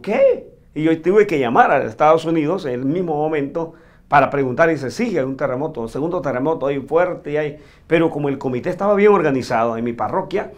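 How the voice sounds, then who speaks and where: A priest from El Salvador tells a long story in an interview, slightly higher-pitched than most men. He talks in a thoughtful, unhurried way, pausing and restarting as he remembers. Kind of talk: interview answer, recounting.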